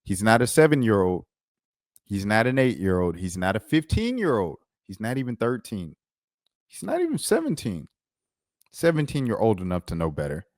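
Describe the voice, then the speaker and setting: Low-voiced American man ranting about sports. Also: huge pitch swings, normal speed, no echo, clean studio sound. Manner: incredulous, slangy, emphatic, repeats himself for effect. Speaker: sports commentator, show host. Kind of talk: casual, opinionated sports rant.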